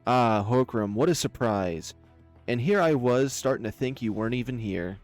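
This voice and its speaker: A man's voice: mid-range voice, lively intonation, normal speed, darkish tone, faint music behind, voice pushed down, close to an everyday voice. A man voicing a huge barbaric lioness warrior in a visual novel, venomous, taunting and snarling.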